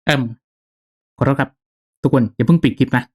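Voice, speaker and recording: A man's voice: mid-range voice, fairly quick, a little mellow. Man giving a casual tutorial. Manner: informal, polite, explanatory, checking in with listeners. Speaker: YouTube tutorial host, gaming channel streamer. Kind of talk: casual step-by-step software tutorial voice-over.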